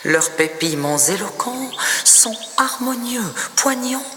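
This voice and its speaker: A woman poet reciting her own poem expressively, in measured phrases with breathy, hushed endings. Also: fairly high pitch, lively intonation, background noise. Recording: long reverb, noticeable background ambience.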